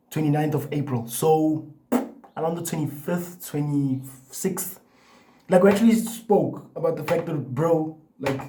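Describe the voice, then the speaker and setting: Mellow young man who stutters. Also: a little high, rough recording. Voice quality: stutters, with repeated words and restarts. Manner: loose and chatty, full of "like" and "you know". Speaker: vlogger. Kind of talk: casual talk to camera.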